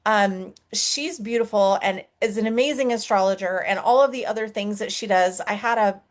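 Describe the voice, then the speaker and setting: A woman's voice: mid-range voice, normal speed. A woman from the United States hosting a spiritual interview show, talking enthusiastically and gushing about her guest. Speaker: spiritual podcast host. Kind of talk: conversational interview.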